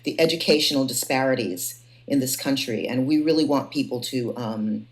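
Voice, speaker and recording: A woman's voice: a bass voice, moderate pace. A woman hosting a panel conversation, feeling her way through sentences and repeating phrases for emphasis. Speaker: host and moderator of a panel discussion. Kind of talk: conversational moderating.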